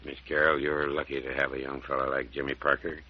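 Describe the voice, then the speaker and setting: An actor playing a folksy, good-humored older country doctor in old-time radio drama, with drawling rural turns of phrase. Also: male voice, extremely low pitch, flat delivery, fast, slightly rough. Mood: kindly, folksy. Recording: dull, band-limited old radio recording.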